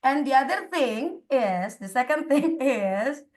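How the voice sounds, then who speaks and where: A woman with an Indonesian accent speaks in a fairly high voice. She shares her opinion informally on an online call. Her delivery is halting, with repeated phrases as she searches for the next word. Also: animated. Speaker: participant in an online discussion.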